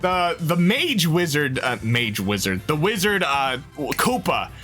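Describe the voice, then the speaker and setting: Animated, rambling young man. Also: on the high side, slow pace, soft background music. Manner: animated, rambling, self-interrupting. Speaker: movie reviewer. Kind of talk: casual rambling review.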